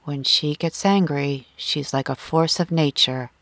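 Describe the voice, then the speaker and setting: A woman's voice: sits low, measured pace, fairly bright tone, dry recording, pitched below the performer's own voice. A woman voicing a composed, patronising wealthy husband and father in an audiobook. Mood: condescending, composed. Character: smooth, condescending, unflappable wealthy husband and father.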